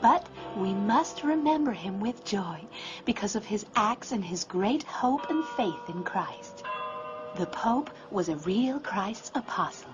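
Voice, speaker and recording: Lady doing a gentle girl pigeon in a kids cartoon, earnest storyteller, muffled audio, music loud behind. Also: medium pitch, animated, slow pace. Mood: sad, hopeful. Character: Fiona, female pigeon, gentle, devout storyteller. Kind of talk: dubbed cartoon narration to children.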